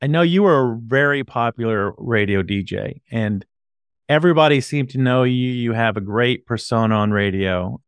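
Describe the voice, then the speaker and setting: A man's voice: medium pitch, expressive, normal speed, clear, resonant tone, dry recording. A man chatting casually as an interviewer, with informal, slightly hesitant phrasing. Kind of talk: casual chat.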